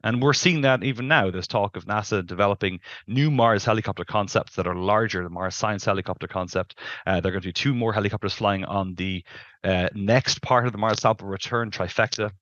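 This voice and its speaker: A man's voice: fairly low pitch, brisk, a bit bright, dry recording, lo-fi sound. A middle-aged man explaining things as an expert interview guest, emphatic and discursive, on a headset in a video call. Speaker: planetary scientist, interview guest.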